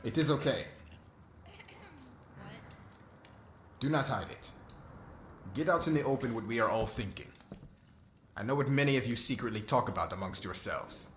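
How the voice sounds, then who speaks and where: A man playing a solemn, diplomatic king in an ASMR roleplay, speaking in a formal, measured, oratorical way. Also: mid-range voice, lively intonation, a bit bright, slight background noise, close to an everyday voice. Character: solemn diplomatic young king addressing subjects and friend.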